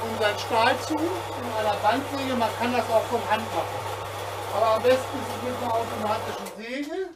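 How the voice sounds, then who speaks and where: Slow-talking man explaining workshop work. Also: extremely high pitch, recorded from a distance, heavy background noise. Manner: hands-on, explanatory, step by step. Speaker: sailing channel host. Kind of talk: casual workshop demonstration. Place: small cluttered workshop, indoors. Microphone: camera a step or two away.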